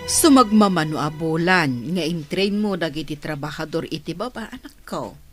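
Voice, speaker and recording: An actress playing a calm, supportive mother in a radio drama, with an Ilocano Filipino accent. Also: low-pitched, moderate pace, music in the background. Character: calm, supportive middle-aged or older mother.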